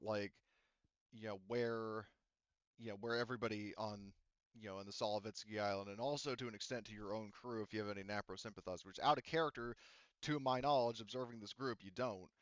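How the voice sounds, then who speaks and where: A man's voice: on the low side, fairly even intonation, fairly quick, clear, resonant tone, dry recording, highs cut off. A man running a tabletop game as game master, relaying a character's offer in a rambling way with lots of fillers. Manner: rambling, many fillers like "you know" and "like".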